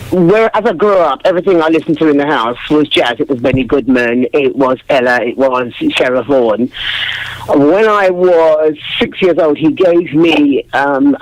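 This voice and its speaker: A woman jazz singer with a big, deep speaking voice, chatting warmly in an interview and laughing often. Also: poor audio. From family from Dominica, West Indies. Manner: warm, effusive, laughs often. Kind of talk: casual interview chat.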